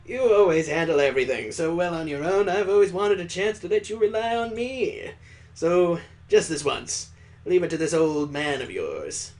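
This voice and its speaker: A man's voice: a little high, animated, moderate pace, a little mellow. A man voicing a jovial, sentimental old father-in-law, hearty and earnest, in a visual novel read aloud. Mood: earnest, resolute.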